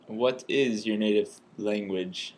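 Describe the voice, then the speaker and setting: A man reads interview questions and narration in a deliberate, scripted way. His voice sits somewhat low. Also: slight background noise.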